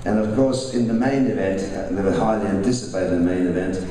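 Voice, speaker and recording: A man with a deep, dark voice introduces fighters at a press event, often stopping and restarting his sentences. He was born in Russia and raised in Australia. The sound is distant and echoes around a large hall. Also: brisk, slight background noise. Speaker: boxing promoter. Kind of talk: press conference announcing. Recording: echoey hall, mic far off.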